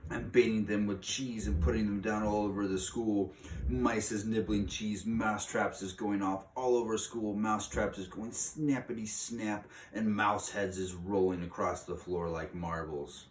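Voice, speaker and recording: A man reading aloud, voicing a cruel, gloating old witch queen who shouts in broken grammar, heard in a roomy space a little away from the mic with faint hiss. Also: fairly low pitch, fairly even intonation, unhurried, recorded from a distance, lightly acted.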